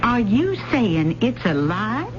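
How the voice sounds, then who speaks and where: A muffled, noisy old radio play carries a spirited Southern country woman who fiddles for a living. Her voice sits fairly high and swings widely, with a folksy drawl, proud and quick with a retort. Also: sing-song. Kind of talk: old-time radio drama acting.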